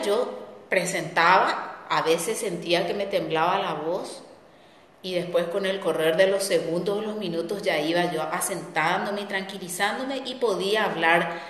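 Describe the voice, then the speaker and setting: A middle-aged woman telling a personal story as a spiritual talk host, reflective and repeating words for weight. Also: medium pitch. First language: Spanish. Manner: reflective, warm storytelling with repetitions. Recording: echoey room with long reverb.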